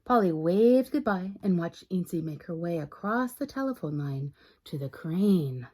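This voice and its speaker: Animated woman reading a children's story. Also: on the low side. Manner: expressive, pauses to question young listeners. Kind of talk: children's read-aloud narration.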